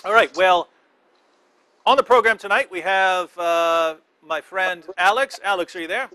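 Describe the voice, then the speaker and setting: A man hosting an interview show, teasing his guest and halting often over his words. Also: high-pitched, sharp tone, no room sound. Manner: joking, halting, restarts often. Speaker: host.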